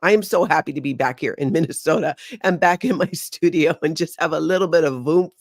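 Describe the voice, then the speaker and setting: A woman's voice: sits low, brisk, no room sound. A middle-aged woman from Hawaii hosting a casual live stream from her home studio, bubbly and chatty, often rambling off topic. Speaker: host.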